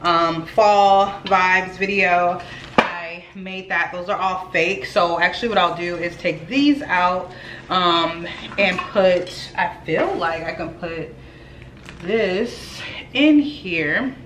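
A woman's voice: lowish voice, takes it slowly, noisy background. A young woman vlogging at home, chatting casually to viewers as she cleans and arranges flowers. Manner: chatty, addresses viewers as y'all. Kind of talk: casual vlog chat. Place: at home, echoey rooms. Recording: echoey home room, faint ambience.

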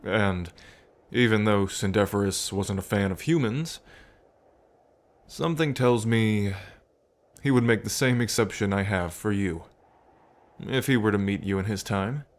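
A man playing a fond, reflective dragon king in an intimate ASMR roleplay, musing aloud to the listener with pauses. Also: on the low side, close to an everyday voice. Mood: tender. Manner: unhurried, musing, pausing, softly chuckling between thoughts. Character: adult male dragon king, fond, reflective, proud of ancestors.

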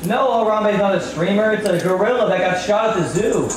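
A man's voice: sits high, a little fast, distant mic, background noise. A young man hosting a livestream, chatting and joking casually. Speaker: livestream host. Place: indoor home kitchen, often inside a tent sauna. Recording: very echoey kitchen with music.

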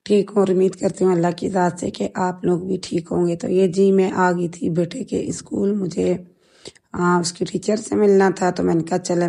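A woman's voice: medium pitch, creaky, mellow, slightly rough. A middle-aged woman with a Pakistani accent narrating a casual vlog voice-over, chatting in a rambling way about her day. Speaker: vlogger.

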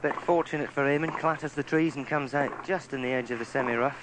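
Man, live golf commentary, medium pitch, noisy satellite TV sound. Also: fairly even intonation, quick pace. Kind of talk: live television sports commentary. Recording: noisy satellite TV broadcast audio.

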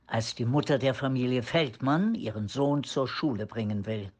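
A man's voice: medium pitch, normal speed. A man reading scripted narration for a radio play.

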